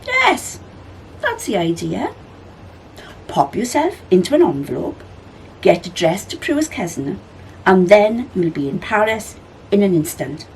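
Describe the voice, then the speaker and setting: A woman's voice: medium pitch, huge pitch swings, a little slow, pauses often, darkish tone, a little hiss or hum, rough recording. A woman voicing a wise, sleepy male bird in a children's storybook, explaining things with assurance. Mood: assured.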